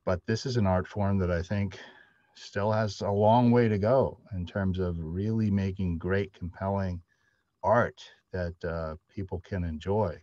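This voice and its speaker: Lowish-voiced middle-aged man, dry dull sound. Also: steady tone, a little slow, mellow, no echo. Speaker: panelist, audio drama writer. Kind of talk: casual panel talk. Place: indoor room, on a video call. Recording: dull, lo-fi video call audio.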